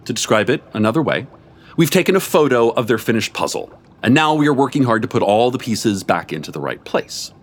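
A man reading a comic story aloud before a live audience, with expressive, animated storytelling and a deadpan edge. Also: medium pitch, brisk. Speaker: story reader.

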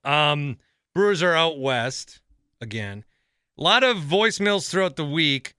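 A man's voice: on the high side, animated, unhurried, sharp tone, clean tone, no echo, clean studio sound. A man with an American accent hosting a podcast solo, talking casually and rambling as he thinks aloud. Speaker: podcast host.

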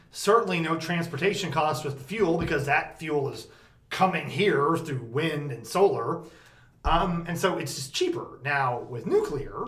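A man hosting a podcast about energy policy, talking casually with a loud, projecting voice and plenty of emphasis. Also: medium pitch. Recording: roomy echo, faint background noise.

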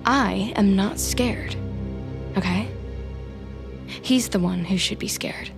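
A woman's voice: mid-range voice, slow, creaky. A woman playing a defiant, agitated office team leader with secrets in an audio drama, stammering and speaking dramatically. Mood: defiant, seething. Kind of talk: audio fiction acting with confiding monologue. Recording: music bed behind the voice.

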